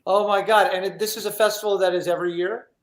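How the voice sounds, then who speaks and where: A man's voice: sits high, fairly even intonation, quick pace. A man hosting an interview show, chatting in an enthusiastic, emphatic and effusive way. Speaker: talk show host. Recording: roomy, echoey sound.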